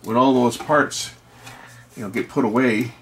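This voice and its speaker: A middle-aged or older man giving casual, unscripted repair commentary, rambling and halting as he thinks aloud. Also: medium pitch, steady tone, slight background noise, low-quality recording. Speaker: electronics repair video host.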